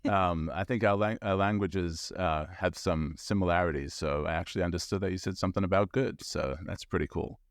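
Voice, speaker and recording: A man with a very deep voice hosting a podcast, talking in a chatty, friendly way full of little fillers. The sound is close and dry, with no room around it. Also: fairly quick, hardly any pauses, no echo. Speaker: podcast host. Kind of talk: casual interview hosting.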